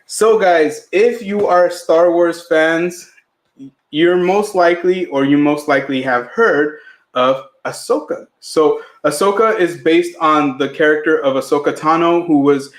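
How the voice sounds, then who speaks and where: Chatty podcast co-host, higher voice. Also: male voice, unhurried, reverberant. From Mexican family. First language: Spanish. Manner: chatty, filler-heavy, restarts often. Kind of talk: live podcast chat.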